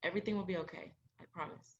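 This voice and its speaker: Young actress as caring best friend, lower-pitched, gentle, supportive, muffled lo-fi student play recording. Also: female voice, fairly even intonation, rapid-fire, slight background noise, natural voice. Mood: gentle, reassuring. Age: young adult. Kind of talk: student one-act play acting. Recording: dull lo-fi audio.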